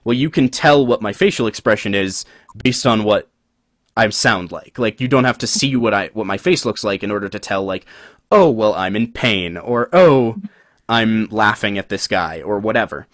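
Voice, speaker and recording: Podcast co-host, man, low-pitched, chatty and rambling, dry sound. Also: normal speed, dry recording. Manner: relaxed, rambling, many fillers. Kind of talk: casual chat.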